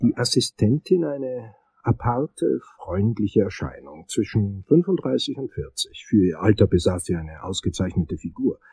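Give the crime story to a wry, uneasy investigator. His voice is mellow and rough-edged, and he narrates his own case with dry, deliberate sarcasm in radio play style. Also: fairly low pitch, big pitch swings, heavily acted. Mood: sardonic observation.

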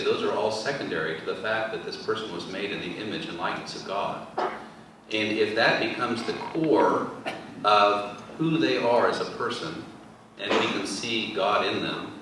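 A middle-aged deacon speaking in a measured, earnest way into a table mic on a panel. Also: male voice, lowish voice, background noise. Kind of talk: panel discussion. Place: large church hall. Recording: echoey hall from far off.

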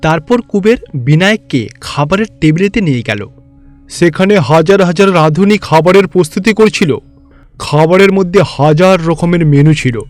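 A man's voice: high voice, moderate pace, faint music behind. A man narrating a scripted cartoon fairy tale as the storyteller.

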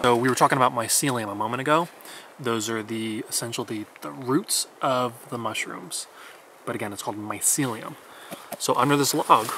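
A man in his thirties explaining foraging outdoors in a wet forest, calm and patient as he teaches. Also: medium pitch. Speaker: forager guide. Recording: noisy outdoor forest recording.

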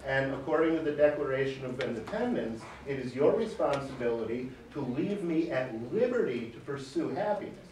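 A man in his forties testifying at a public hearing, speaking loudly and emphatically, off the cuff. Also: on the high side, slight background noise. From Michigan. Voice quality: loud voice. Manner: emphatic, gesturing, pounds the table. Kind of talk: unscripted testimony. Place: large wood-panelled hearing room. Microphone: far camera. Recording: echoey room, camera far off.

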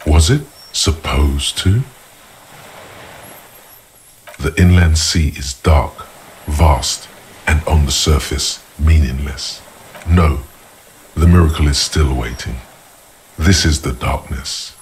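An actor voicing the brooding inner voice of a detective's mind, narrating a video game in an ominous, deliberate way. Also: male voice, rumbling low, slight background noise, rough recording. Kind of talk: scripted second-person game narration.

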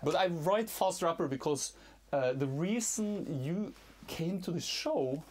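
A man in his thirties hosting a casual interview, teasing and playful, halting with fillers, in a cluttered storage room. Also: a little high, animated, darkish tone, a little hiss or hum. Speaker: talk show host.

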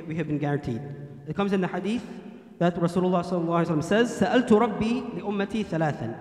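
Brisk, high-voiced imam in an echoey hall. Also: male voice. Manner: quotes Arabic hadith then translates into English. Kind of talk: Friday sermon. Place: mosque hall, indoors. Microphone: standing mic on a stand.

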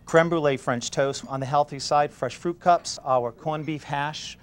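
A man's voice: a little high, steady tone, unhurried. A man in his forties, a chef and owner, answering interview questions about his restaurant. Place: inside a restaurant bar, dining room and kitchen. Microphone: lapel mic. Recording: faint restaurant background noise.

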